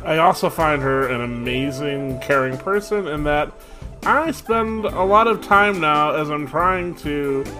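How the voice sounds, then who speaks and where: A male podcast host speaks slowly and haltingly, stopping and restarting as he searches for words. His manner is earnest and unscripted, and guitar music plays clearly behind him. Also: fairly high pitch. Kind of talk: casual spoken podcast commentary.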